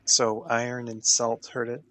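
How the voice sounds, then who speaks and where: A man's voice: mid-range voice, completely flat delivery, unhurried, fairly bright tone, poor audio. Man chatting casually as a game player. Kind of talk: casual chat during a tabletop game.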